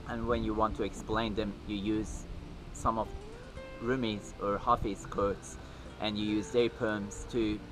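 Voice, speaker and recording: A man in his thirties with a Persian accent, speaking an earnest personal video message with many pauses and fillers. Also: lowish voice, low-quality recording. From Iran. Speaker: video maker. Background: music.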